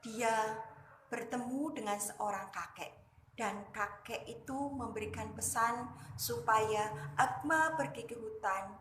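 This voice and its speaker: A woman narrates a tale for young children in a fairly high, bright voice. She goes slowly, breaking sentences into short, emphatic phrases. The room adds noticeable echo. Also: slight background noise. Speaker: kindergarten teacher and storyteller. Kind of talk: dramatic children's storytelling. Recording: echoey room.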